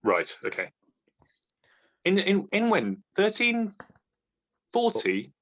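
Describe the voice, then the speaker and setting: A man chatting about books, joking and rambling, his pitch swinging up and down as he talks. The recording sounds dull and lo-fi, with the top end muffled. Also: takes it slowly, slight background noise. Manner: jokey, rambling, animated. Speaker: booktuber co-host. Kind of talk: casual chat.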